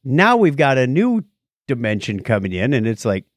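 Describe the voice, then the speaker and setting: A man co-hosting a podcast, chatting casually and recounting things in a rambling, animated way. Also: mid-range voice, moderate pace, no room sound, clean studio sound. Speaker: podcast co-host. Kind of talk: casual podcast chat, recounting a nature documentary.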